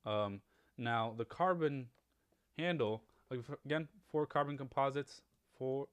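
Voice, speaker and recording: A man's voice: mid-range voice, measured pace, clean tone. A man whose first language is Spanish, talking casually over a mobile game, rambling with many fillers as he compares weapon upgrades. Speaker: gaming YouTuber.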